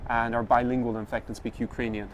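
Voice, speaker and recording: A man hosting a vlog, explaining things conversationally to camera in measured, slightly hesitant phrasing. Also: mid-range voice, little pitch movement. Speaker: vlog host. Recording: outdoor street noise.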